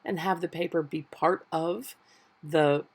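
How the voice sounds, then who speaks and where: Hoarse woman narrating an art tutorial. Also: low-pitched, measured pace. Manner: relaxed, thoughtful explaining with fillers. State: hoarse from a summer cold. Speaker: art journal teacher. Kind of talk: voiceover craft tutorial. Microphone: voiceover mic.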